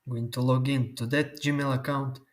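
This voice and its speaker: Man explaining software steps. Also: medium pitch, flat delivery. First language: Croatian. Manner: slow, step-by-step instructions. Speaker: tutorial host. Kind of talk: screen-recorded software tutorial.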